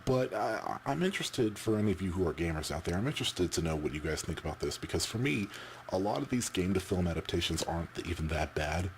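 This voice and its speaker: A man giving casual, off-the-cuff opinions as a vlogger, halting and repeating words as he rambles. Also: sits low, lively intonation, slight background noise.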